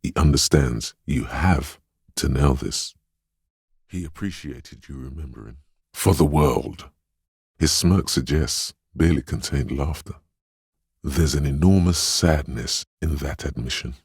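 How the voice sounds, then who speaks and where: A man voicing a grave, compassionate inner voice of empathy as a video game narrator, speaking in a measured, solemn way with a husky, gravelly tone. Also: extremely low pitch, dry recording, studio quality, heavily acted. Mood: gentle, observant.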